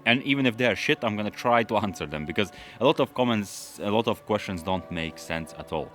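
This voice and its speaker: Deep-voiced man chatting to camera. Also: brisk, faint music behind. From London. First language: Russian. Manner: relaxed, rambling, with frequent fillers and restarts. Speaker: automotive YouTuber. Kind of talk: casual Q&A chat to camera.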